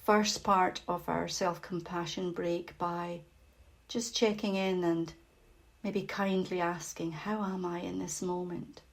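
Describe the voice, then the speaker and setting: A woman leading a guided meditation as facilitator, speaking gently and without hurry, with long pauses and a soft voice that turns breathy at phrase ends. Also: lowish voice, little pitch movement.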